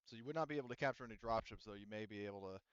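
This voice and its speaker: A man working as game master, narrating casually and often thinking aloud in a halting way. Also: lowish voice, smooth, dry recording, highs cut off.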